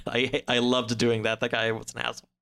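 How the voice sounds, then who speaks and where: A man in a casual podcast chat, recapping a story in a halting, rambling way full of filler words. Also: medium pitch, completely flat delivery, gravelly, pushed and bright, smooth. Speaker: podcast guest.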